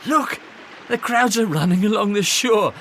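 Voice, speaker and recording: An actor playing an ambitious, teasing, easily exasperated disciple in a Bible radio drama, with a breezy, self-assured, grumbling manner. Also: male voice, high-pitched, normal speed, a bit bright, a little hiss or hum. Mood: matter-of-fact.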